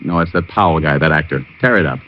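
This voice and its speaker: A man playing a wisecracking, cynical private detective in a 1940s radio drama, dry and sardonic, quick with insults. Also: very deep voice. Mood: wry. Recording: muffled old-time radio recording.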